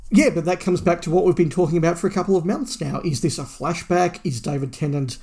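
A man's voice: on the high side, fast, darkish tone. A man co-hosting a podcast in a casual fan discussion, rambling and thinking aloud. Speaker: podcast co-host.

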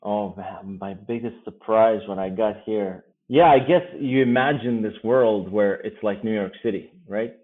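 A man in his forties from Kosovo, whose first language is Albanian, speaking as a guest in a casual podcast interview, easygoing and quick to laugh. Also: on the low side. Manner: easygoing, laughs often, quick bursts of speech. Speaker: guest, company founder. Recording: phone-like remote line.

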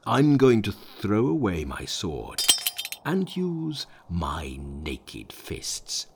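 A man voicing a huge arrogant male demon warrior in a storybook reading, slow and mocking. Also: on the low side, wildly swooping pitch, vocal fry, background noise, full character voice. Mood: scornful.

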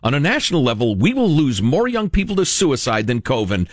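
American guy talk radio host, really animated delivery, stresses his points, dry studio mic. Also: mid-range voice, fairly quick, no echo. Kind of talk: reading a letter aloud with conversational commentary.

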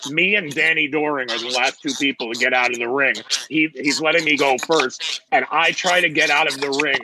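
A man's voice: fairly high pitch, noisy background. A man in his forties, a guest telling rambling, joking stories in a casual chat. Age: 40s. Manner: joking, rambling, theatrical asides. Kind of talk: casual storytelling.

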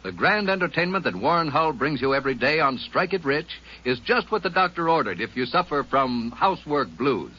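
A man announcing in a bright, lively old-time radio style, his pitch swinging up and down. The voice is slightly husky and comes through a muffled vintage broadcast. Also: brisk, few pauses. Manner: bright and upbeat, emphatic. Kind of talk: 1950s radio promo announcing.

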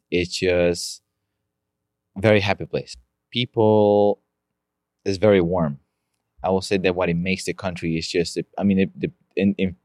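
A man's voice: low-pitched, darkish tone, dry recording, clean studio sound. A man from Colombia with a Colombian accent, speaking English as a second language in a casual interview, often restarting his sentences. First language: Spanish. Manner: halting, restarts sentences, non-native grammar. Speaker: guest, amateur bike racer.

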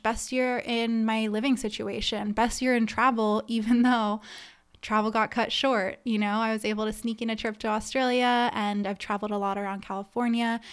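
Woman podcast host with an even, steady pitch. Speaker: podcast host and business coach. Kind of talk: conversational solo podcast monologue with membership pitch.

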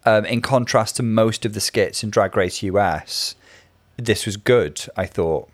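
A man co-hosting a casual review show, measured with wry understatement. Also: fairly low pitch, no echo. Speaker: podcast co-host reviewing a drag competition. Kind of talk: casual chat.

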